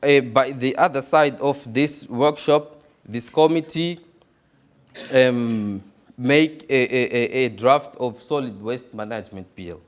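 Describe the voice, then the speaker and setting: A man giving a conference presentation, halting and repeating words often. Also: mid-range voice. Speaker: conference speaker.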